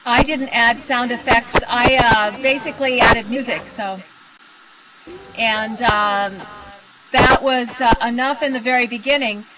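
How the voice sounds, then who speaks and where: A woman's voice: fairly high pitch, fairly even intonation, unhurried. A woman on a podcasters' panel, talking casually as she explains. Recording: clear background noise, room ambience.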